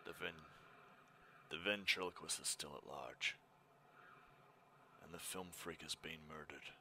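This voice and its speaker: A man plays a grim, weary crime fighter in a superhero fan dub. His voice is deep, tense and whispery, and he speaks in terse, brooding phrases. There is slight background noise. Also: a little slow, pushed and bright, heavily acted. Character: grim, weary, commanding masked male vigilante.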